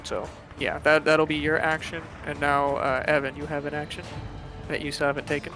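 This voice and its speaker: Man, game master, higher voice, improvised narration, halting explanations, clear music bed. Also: little pitch movement. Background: loud background music.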